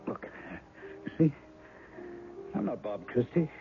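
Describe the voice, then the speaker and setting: A wry, rueful novelist. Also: male voice, mid-range voice, animated, slow. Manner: wry, self-mocking. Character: rueful blocked novelist in disguise. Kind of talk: 1970s radio drama acting. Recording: narrow 1970s radio broadcast, faint music.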